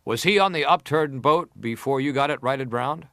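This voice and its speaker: An actor playing an insistent senator who leads a formal inquiry, questioning in a pressing, formal manner. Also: male voice, medium pitch, normal speed, pushed and bright, no echo, studio quality. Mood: probing, formal. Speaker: actor reading testimony. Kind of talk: dramatized reading of hearing testimony.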